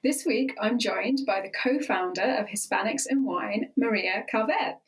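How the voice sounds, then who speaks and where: A woman hosting a wine podcast, reading a scripted intro and then interviewing her guest with clear, upbeat delivery. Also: a little high, moderate pace.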